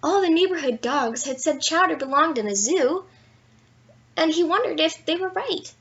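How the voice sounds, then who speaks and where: A high-voiced woman reads a children's bedtime story aloud. Her delivery is playful and expressive, leaning into key words for drama. The sound is a little dull and lo-fi, like a simple home recording. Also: normal speed. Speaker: storyteller reading a children's picture book aloud. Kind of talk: animated bedtime story read-aloud with asides.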